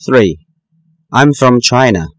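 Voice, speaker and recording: Man reading test sentences, slow and lively. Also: mid-range voice, no echo. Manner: slow, careful, deliberate enunciation. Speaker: listening-test reader. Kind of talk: scripted textbook listening exercise.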